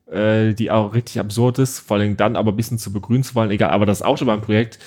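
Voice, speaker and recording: A man's voice: lowish voice, steady tone, quick pace, darkish tone, husky. A young man co-hosting a podcast, chatting casually and giving his opinions freely, with fillers. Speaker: podcast co-host.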